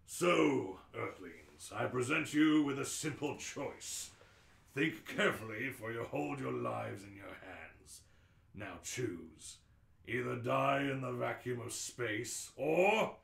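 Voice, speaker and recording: A man voicing a mean, callous alien spaceship captain with a deliberate, theatrical delivery in a radio play. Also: mid-range voice, animated, creaky, fairly bright tone, echoey room, heavily acted. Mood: menacing. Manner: slow, deliberate, menacing delivery with theatrical pauses.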